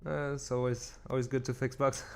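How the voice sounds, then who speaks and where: A man's voice: medium pitch, little pitch movement, normal speed, vocal fry, a little mellow. A man streaming game development, chatting casually and thinking aloud in loose, informal phrasing. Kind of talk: casual chat while working. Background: music behind the voice.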